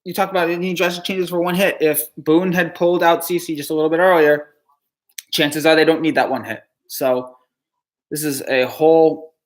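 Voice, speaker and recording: Man, from new jersey, live streamer, dejected, halting, stammering restarts, sighs between thoughts. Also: fairly high pitch, fairly even intonation. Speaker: live streamer answering chat. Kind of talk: live reaction stream, reading chat questions.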